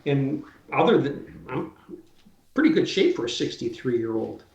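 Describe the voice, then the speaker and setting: A man's voice: medium pitch, sing-song, a little slow, vocal fry, darkish tone, reverberant, muffled recording. A man in his sixties, a drywall finisher guest, chatting casually and animatedly, often repeating words. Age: 60s. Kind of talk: casual interview chat.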